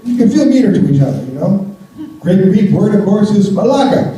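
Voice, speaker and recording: A man's voice: high-pitched, sing-song, brisk, noisy background. An American man performing a stand-up comedy set on stage in front of a live audience, talking in a wry, conversational way and chuckling at his own jokes. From United States. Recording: roomy theater sound, mic at a distance.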